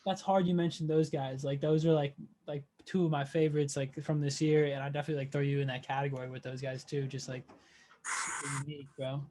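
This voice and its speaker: A young man with a slightly high voice acting as interviewer. He keeps things informal and agreeable, chatting in slang rather than formal questions. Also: level tone, fast talker, mellow, a little hiss or hum. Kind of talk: casual interview chat.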